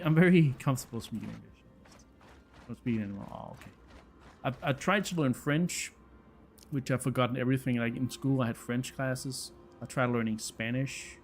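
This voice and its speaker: A man in his thirties from Denmark, a native Danish speaker with a Danish accent, casually chatting with viewers as a game streamer, hesitant and often repeating words. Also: mid-range voice. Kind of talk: casual chat with viewers while playing.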